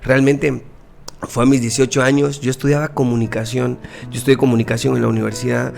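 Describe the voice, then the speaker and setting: A man's voice: mid-range voice, little pitch movement, brisk, creaky, darkish tone, soft background music. A young Guatemalan man with a Guatemalan Spanish accent, a musician guest earnestly telling his faith story in a casual interview, often repeating words.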